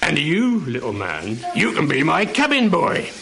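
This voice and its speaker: Radio play sea captain, male actor: high, gravelly, swoops about, hearty and commanding. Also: huge pitch swings, measured pace, crowd noise, exaggerated voice. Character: hearty, rosy male sea captain; deferential office employee. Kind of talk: live radio theatre.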